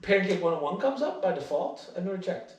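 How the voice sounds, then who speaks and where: A man's voice: high-pitched, sing-song, a little fast, recorded from a distance. A young man hosting a podcast in casual, joking, self-mocking chat. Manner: jokey, self-mocking, laughs through his sentences. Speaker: podcast host. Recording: roomy echo.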